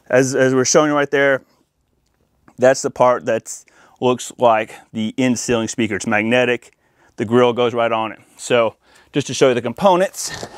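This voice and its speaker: A man's voice: medium pitch, dry recording, clean studio sound. An American man hosting a hands-on installation demo in an informal, folksy, chatty way. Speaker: audio-video installer and channel host. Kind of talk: casual hands-on install demonstration.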